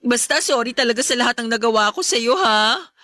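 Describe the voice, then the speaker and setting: A woman's voice: a little high, animated, fairly quick, bright, forceful tone, no room sound. A woman playing a remorseful, pleading stepmother in an emotive Filipino radio drama, with a Filipino accent. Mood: relieved. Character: remorseful, pleading stepmother, adult woman under family strain.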